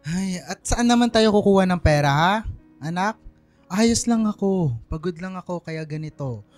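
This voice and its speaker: Weary, stubborn father, slightly high voice. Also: lively intonation, moderate pace, a little mellow, faint music behind. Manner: weary, dismissive, reassuring. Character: stubborn, selfless, ailing poor father, reassuring his family. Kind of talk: radio drama acting.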